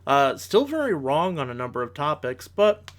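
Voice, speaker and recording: A man's voice: a little high, big pitch swings, moderate pace. A man delivering scripted rebuttal commentary in formal wording, with an analytical and bluntly critical manner. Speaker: commentator, video host.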